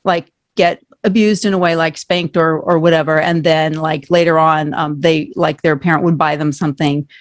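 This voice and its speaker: A woman's voice: sits low, steady tone, normal speed, hardly any pauses, no room sound. A woman from Norway, a guest on an interview podcast, talking candidly and rambling a little. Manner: candid, rambling, laughs often. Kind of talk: casual interview talk.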